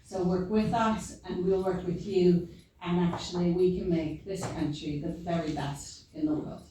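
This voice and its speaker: A woman's voice: fairly low pitch, fairly even intonation, moderate pace. Woman speaker, rousing political address, distant and roomy with faint noise. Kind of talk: rallying speech. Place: large hall. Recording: echoey hall from far off.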